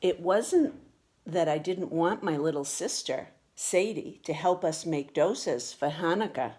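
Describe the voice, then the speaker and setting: A woman reading a children's picture book aloud with expressive, deliberate storytelling delivery. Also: lowish voice. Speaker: storybook reader.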